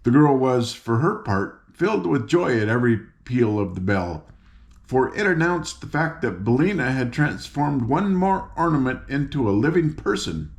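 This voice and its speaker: Older guy reading a fairy tale out loud, folksy, chatty asides, trips on words a bit. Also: mid-range voice, big pitch swings, moderate pace, gravelly. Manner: folksy, informal, stumbles over words. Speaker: audiobook reader. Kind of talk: read-aloud storybook narration with chatty asides.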